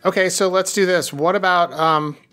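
A man's voice: high-pitched, fairly even intonation, pushed and bright, soft background music. A man hosting a business podcast, chatting with a guest in an enthusiastic, rambling way. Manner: enthusiastic, rambling, drawn-out phrasing. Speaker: podcast host. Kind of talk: casual interview chat.

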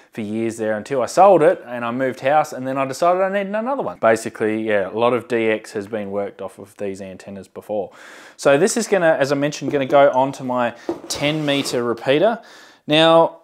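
A man in his thirties talking casually to camera as a hobbyist video host, giving a hands-on show-and-tell. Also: mid-range voice. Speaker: amateur radio hobbyist and channel host. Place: indoor workshop storeroom with shelving. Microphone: lapel mic.

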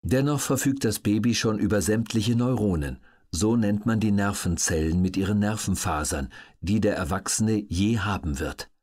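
Husky, low-voiced male narrator. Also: moderate pace, darkish tone. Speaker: audiobook narrator. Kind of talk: scripted documentary audiobook narration.

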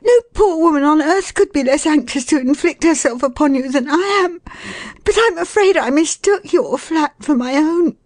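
A prim, flustered storybook lady, pitched far up into a quavering, piteous register. She is genteel and excessively polite, with a swooping, animated delivery. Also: normal speed, no echo. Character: flustered, prim, pitiful lady apologising to a stranger. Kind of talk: storybook character voice.

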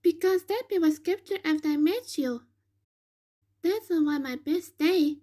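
A woman's voice: very high-pitched, measured pace, warm tone. A woman voicing a sweet, bashful young cartoon hedgehog girl in love, with a girlish manner, in an amateur comic dub. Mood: sweet, dreamy.

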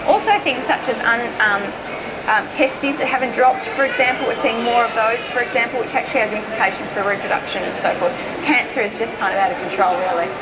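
An Australian woman speaks in a somewhat high voice, giving an informal public talk. Her delivery is conversational and emphatic, stressing key words. The recording has loud background noise running behind her. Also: fairly quick. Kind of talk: informal seminar presentation. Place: indoor presentation stage at an expo.

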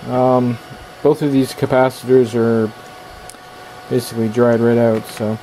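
A man giving casual, halting hands-on repair commentary, thinking aloud as he works in a small workshop. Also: medium pitch. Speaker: electronics repair hobbyist hosting a video. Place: small indoor workshop at a workbench. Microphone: handheld camera's built-in microphone. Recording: noisy handheld camera sound, steady background hum.

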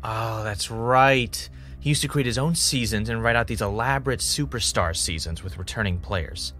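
A man acts out a jaded ex-winner of a reality show, now a podcaster in an audio drama. He is blunt and world-weary, with a fairly low voice over a music bed. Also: moderate pace, a bit bright, lightly acted. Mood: reminiscing. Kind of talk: audio drama dialogue and confessional narration.

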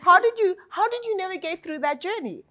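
A woman's voice: very high voice, animated, fairly quick, sharp tone, lo-fi sound. A woman hosting a panel discussion, chatty and conversational, with loose fillers as she sets up her questions. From Kenya. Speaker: host. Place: hotel event space.

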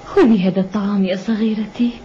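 A woman's voice: medium pitch, steady tone, quick pace, dark tone, lightly acted. An actress playing a kindly, motherly village woman in a dubbed cartoon, firm and caring. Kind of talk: dubbed cartoon acting in formal Arabic. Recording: muffled old cartoon soundtrack with background noise.

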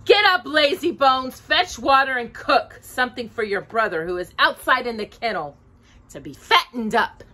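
A woman's voice: fairly high pitch, lively intonation, moderate pace, bright, forceful tone, voice pushed up. A woman putting on a wicked old witch. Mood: bossy. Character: wicked old female witch, cruel and gloating. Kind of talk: storybook reading.

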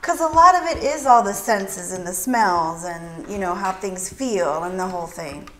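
A woman's voice: mid-range voice, animated, normal speed, sharp tone. A woman in her thirties chatting and joking as a cooking show co-host, recorded in an echoey, slightly noisy home kitchen. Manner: chatty, jokey. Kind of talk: casual kitchen chat. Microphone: lapel mic. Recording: echoey kitchen with faint noise.

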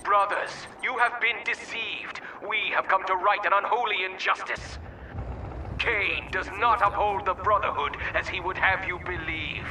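An actress playing a militant, zealous cult rebel leader, declaiming commandingly in a video game cutscene. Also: female voice, medium pitch, moderate pace, background noise, natural voice. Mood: solemn, defiant.

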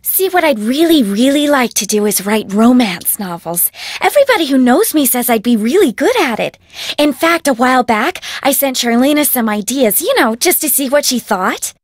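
A woman's voice: high voice, expressive, moderate pace, no echo, clean studio sound. A woman voicing a bubbly, bossy, spoiled rich young heiress in a video game, with an American accent and perky, dramatic, casual phrasing. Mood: gushing. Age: young adult.

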